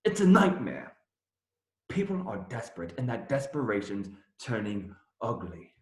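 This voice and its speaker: An actor playing a young minstrel, cheery by nature but troubled, earnest and folksy in a radio play. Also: male voice, lowish voice, very animated, normal speed, a little hiss or hum. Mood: troubled, bitter. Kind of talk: theatrical radio play acting. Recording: roomy echo.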